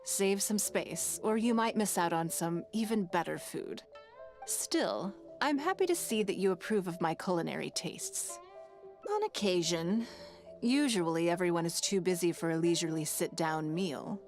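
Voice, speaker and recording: A refined lady of wealth speaks in a fairly low, composed voice. She is gracious and gently teasing, with formal game-dialogue phrasing over faint music. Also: expressive, moderate pace. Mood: gracious, relaxed. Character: poised, gracious, wealthy noblewoman who gently teases. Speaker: video game voice actor. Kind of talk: scripted video game dialogue.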